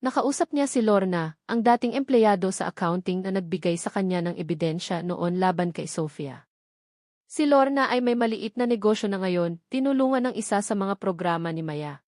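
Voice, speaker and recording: A woman's voice: medium pitch, lively intonation, moderate pace, a little mellow, dry recording, studio quality. A woman with a Filipino accent reading scripted story narration as the narrator of an audio drama.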